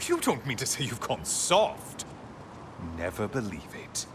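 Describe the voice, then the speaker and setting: A man's voice: medium pitch, huge pitch swings, measured pace, noisy background. An actor playing a sardonic male sun god, a severed talking head, in a video game, speaking with mocking, theatrical sarcasm. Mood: taunting. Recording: game audio with loud music behind.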